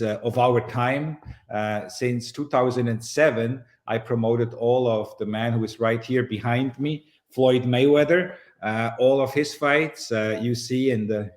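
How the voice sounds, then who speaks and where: A middle-aged man from Switzerland, a boxing promoter being interviewed, speaking in a measured way with frequent pauses. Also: medium pitch, darkish tone. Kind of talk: relaxed interview storytelling.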